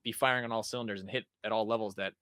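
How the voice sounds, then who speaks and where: A man's voice: fairly low pitch, fairly even intonation, rapid-fire, pushed and bright, no echo, studio quality. A man co-hosting a podcast, talking casually and off the cuff. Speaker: podcast co-host. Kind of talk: casual chat.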